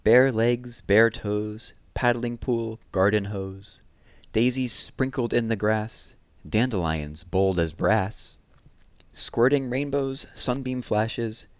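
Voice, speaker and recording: A man's voice: fairly low pitch, takes it slowly, smooth, dry recording. A man hosting a read-aloud, reading children's poems expressively and explaining things simply to young listeners.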